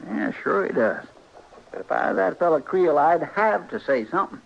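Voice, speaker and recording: An actor playing a folksy, fretful, talkative frontier deputy with rustic phrasing in a 1950s radio western. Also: male voice, a little high, lively intonation, normal speed, vocal fry. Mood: curious, fretful. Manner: rustic drawling turns of phrase. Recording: dull old radio recording, faint noise.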